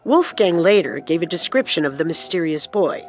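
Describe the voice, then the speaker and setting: A woman co-narrating a scripted true-crime story with measured, dramatic delivery. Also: on the low side, animated, a little mellow, a little hiss or hum.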